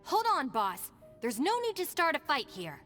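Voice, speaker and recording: A woman's voice: high-pitched, huge pitch swings, moderate pace, fairly bright tone, soft background music, heavily acted. A woman voicing a level-headed young female deputy in a video game, sensible, polite and wryly exasperated. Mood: exasperated, restraining.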